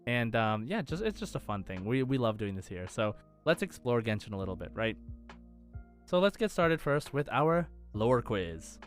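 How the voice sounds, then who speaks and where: A man's voice: mid-range voice, sing-song, normal speed. Casual male podcast host over faint music. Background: faint background music.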